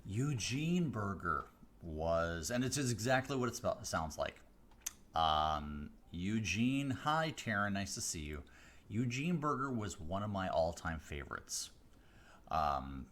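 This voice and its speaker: A man from the Chicago area, a mentalist hosting a live stream, chatting casually with viewers on a close studio mic, rambling with fillers and restarts but slowing down with deliberate pauses. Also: low-pitched, a bit bright. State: voice deeper than usual today. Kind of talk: casual chat answering viewer questions. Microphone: close studio microphone.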